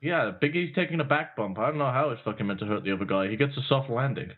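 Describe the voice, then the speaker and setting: A man's voice: mid-range voice, quick pace. A man co-hosting a podcast, giving blunt, profane opinions in casual wrestling talk. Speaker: podcast co-host. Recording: tinny phone-like line.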